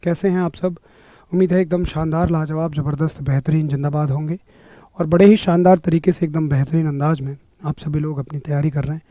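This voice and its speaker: Hoarse man teaching live. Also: fairly high pitch, steady tone, brisk, dark tone, dry recording. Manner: greets viewers by name, upbeat coaching; frequently clears throat. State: hoarse, sore throat. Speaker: online exam-prep teacher. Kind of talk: live-streamed physics class.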